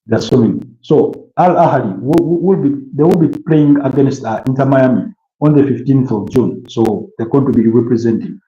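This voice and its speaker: Ugandan man, halting live-stream chat. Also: mid-range voice, warm tone, reverberant, muffled recording. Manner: halting, repeats words, many fillers. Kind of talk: casual live chat reading viewer comments.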